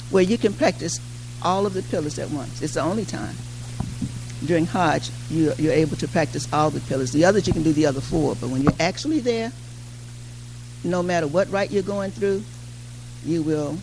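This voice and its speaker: An older woman with a gravelly creak in her voice moderates a panel in an informal way. She speaks conversationally, pausing and repeating phrases. A faint hum sits behind her. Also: deep voice. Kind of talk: informal public address. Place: community hall at a mosque. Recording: faint background noise and hum.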